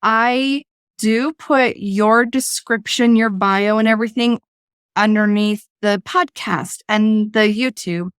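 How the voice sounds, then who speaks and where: A woman's voice: mid-range voice, slow, smooth, no room sound, clean studio sound. A laid-back, chatty woman hosting a podcast from a room at home on her own desk microphone, while she is losing her voice. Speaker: podcast host. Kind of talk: casual chat. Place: home room with blinds closed.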